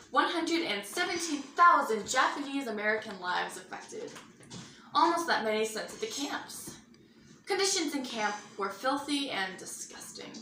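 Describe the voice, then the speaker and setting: A woman's voice: a little high, sharp tone. A woman reading a mock 1950s news bulletin as anchor, theatrical and sarcastic, recorded in a roomy space away from the mic. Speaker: news anchor in a student-style mock newscast. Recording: roomy echo, distant mic, faint noise.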